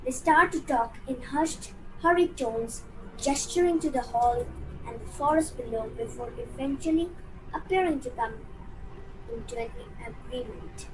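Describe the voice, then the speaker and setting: A woman's voice: high-pitched, a little slow. A woman reading scripted narration for a radio play, telling the story. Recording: clear ambient noise behind the voice.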